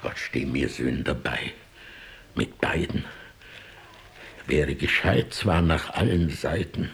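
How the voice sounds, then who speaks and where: A wily old Pope in a radio play. He speaks very low and slowly, with a gravelly creak. His delivery is pompous and theatrical, moving between outbursts and hushed asides. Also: heavily acted. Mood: hushed, conspiratorial. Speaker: actor. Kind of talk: rhymed radio play acting.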